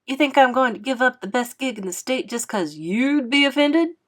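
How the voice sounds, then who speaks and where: A woman's voice: high-pitched, animated, normal speed, fairly bright tone, voice pushed up. Woman playing a wry, easygoing piano man. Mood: defensive, wry. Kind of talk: acted storybook dialogue.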